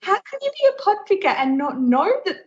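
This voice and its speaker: A woman's voice: extremely high pitch, sing-song, a little fast. A woman talking frankly and emphatically as a podcast host in a casual chat, slightly muffled.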